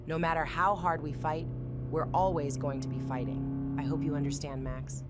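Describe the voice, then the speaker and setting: A woman playing a confident adult monster fighter who mentors kids with calm command in a cartoon comic dub. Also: very deep voice, moderate pace, over loud music. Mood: calm, serious.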